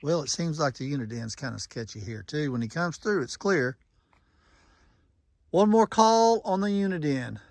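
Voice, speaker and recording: Higher-voiced man, casual and folksy. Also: expressive, normal speed, creaky, no room sound. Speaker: vlogger testing walkie-talkies. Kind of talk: casual running commentary and radio check calls.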